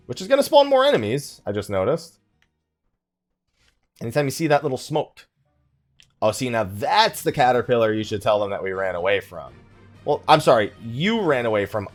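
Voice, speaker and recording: Guy doing a chatty lets play, lowish voice that jumps around a lot, jokey and rambly, bit of music behind him. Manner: rambling, joking, mock exasperation and self-mockery. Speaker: host of a gaming let's play. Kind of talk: casual chatty game commentary.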